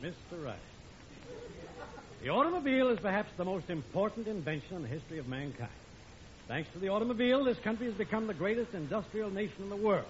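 Stern, sardonic American driving examiner. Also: male voice, high-pitched, big pitch swings, slight background noise. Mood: sardonic, grave. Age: middle-aged. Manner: deliberate, official, building to indignant speeches. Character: stern, exasperated veteran male driving examiner, sardonic officer. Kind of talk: 1950s radio sitcom acting. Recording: muffled old radio recording with studio audience.

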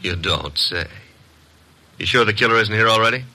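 An actor playing a cocky, wisecracking detective's assistant in 1950s radio style, wry, slangy and needling. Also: male voice, fairly low pitch, lively intonation, moderate pace, bright, forceful tone. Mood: pressing, insinuating.

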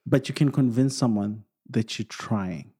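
A man co-hosting a relaxed Q&A with his wife, talking things through with rambling examples and playful asides. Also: mid-range voice, a little slow, husky. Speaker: podcast co-host, singer. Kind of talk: casual couple Q&A chat.

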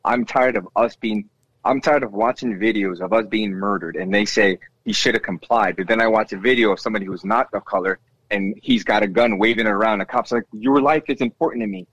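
A man with a bass voice in a casual discussion, talking with passion and repeating himself when he cuts in. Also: fairly quick, a bit bright, no room sound. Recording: dull, dry lo-fi sound.

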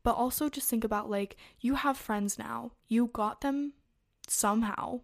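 A woman's voice: on the high side, measured pace, vocal fry. A young woman giving casual, reassuring advice to viewers, talking in a chatty way full of fillers. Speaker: advice vlogger. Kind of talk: casual advice chat, reading viewer messages.

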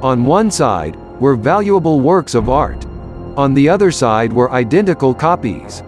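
A man's voice: mid-range voice, very animated, measured pace, darkish tone. A man narrating a scripted action story for an audio drama. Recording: music bed behind narration.

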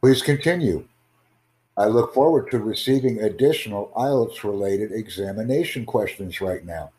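A man hosting an exam-prep podcast, teaching in a slow, formal, deliberate way and asking rhetorical questions. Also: on the low side, darkish tone, husky. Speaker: IELTS tutor and podcast host.

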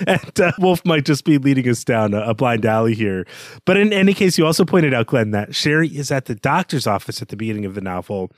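A man's voice: mid-range voice, animated, fairly quick, no echo. Wry man discussing books, dry recording. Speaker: podcast co-host. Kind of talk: conversational literary discussion.

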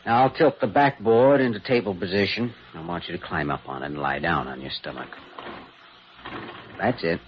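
An actor playing an earnest, calm, courteous young doctor in old-time radio drama style, measured and clinical. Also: male voice, sits low, breathy edge. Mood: reassuring. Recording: dull, faintly noisy old radio recording.

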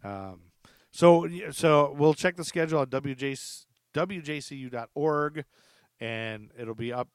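A man's voice: on the high side, measured pace, clean tone, no room sound. A middle-aged man hosting a podcast in a casual, chummy, rambling way as he chats with guests. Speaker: podcast host.

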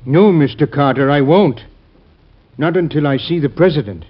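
Husky, flustered subordinate, high voice. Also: male voice, expressive, normal speed. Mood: hurt, insistent. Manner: hesitant, stammering, earnest. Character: flustered, deferential but stubborn male subordinate. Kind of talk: old-time radio drama acting. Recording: muffled old radio recording.

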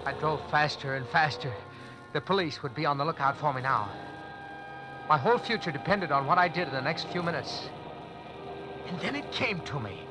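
A man's voice: on the high side, normal speed, long pauses, over music, rough recording. A man narrating in the first person for a 1940s radio drama, tense and nervous. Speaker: narrator and lead character.

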